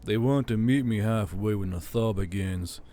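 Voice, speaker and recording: A man voicing a gruff, weary male military commander who grumbles dryly. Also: lowish voice, normal speed, mellow, close to an everyday voice.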